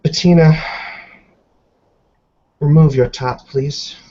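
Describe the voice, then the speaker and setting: A man voicing a stern female werewolf elder who passes judgement in grave, deliberate, formal words in a tabletop game. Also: a little high, fairly even intonation, dark tone, voice pushed up. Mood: commanding. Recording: muffled lo-fi online call.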